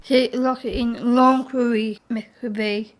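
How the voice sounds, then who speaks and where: A woman playing a blunt, loyal young care home resident in an audio drama, speaking plainly and haltingly. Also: a little high, fairly even intonation. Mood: anxious.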